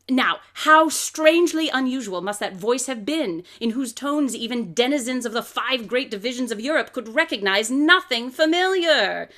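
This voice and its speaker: A woman's voice: fairly high pitch, sharp tone. A woman playing a brilliant, eccentric detective in a live radio drama, coolly superior, lecturing in precise, didactic speech. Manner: pompous, didactic, precisely articulated. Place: closet or desk at home.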